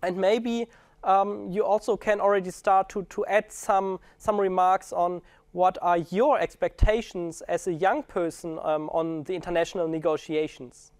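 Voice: medium pitch, steady tone, unhurried, darkish tone, no room sound. A moderator asking a guest long questions, hesitant with many fillers. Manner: hesitant, many ums and restarts. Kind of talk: webinar moderation.

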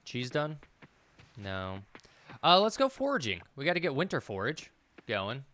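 A man's voice: mid-range voice, wildly swooping pitch, unhurried, sharp tone, a little hiss or hum. A man in his thirties giving casual let's play commentary, thinking aloud and muttering to himself as he plays. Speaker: gaming video host.

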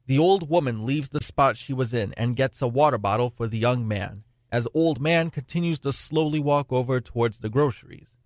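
A man's voice: mid-range voice, moderate pace, darkish tone, dry recording, clean studio sound, natural voice. A man as narrator, reading scripted stage directions for a radio play. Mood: matter-of-fact.

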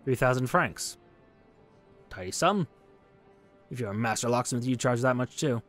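A man's voice: mid-range voice, expressive, moderate pace, fairly bright tone, faint music behind, natural voice. A man voicing an old master locksmith on trial in a game, indignant and boastful. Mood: indignant, proud.

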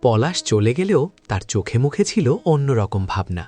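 A man's voice: medium pitch, very animated, moderate pace, warm tone, husky, soft background music. A man narrating a cartoon folk tale. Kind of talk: scripted storybook narration.

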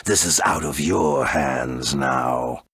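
A grandiose dark lord from a video game, with an extremely low, husky voice. He speaks slowly and theatrically, with deliberate menace, in a very dry studio sound. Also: dry recording, exaggerated voice. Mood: gloating. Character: grandiose dark male villain bent on wiping out the world.

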